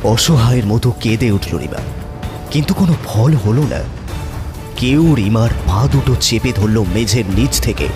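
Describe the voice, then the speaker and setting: A man narrating a horror story in a suspenseful, theatrical manner with dramatic pauses. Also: mid-range voice, expressive, over music.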